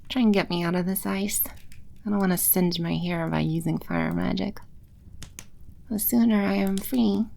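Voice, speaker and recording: A woman playing a playful, teasing demon empress in an ASMR audio roleplay, speaking with unhurried, coy confidence. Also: lowish voice, steady tone, gravelly, dark tone. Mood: teasing, reassuring. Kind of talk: ASMR audio roleplay, spoken close to the listener.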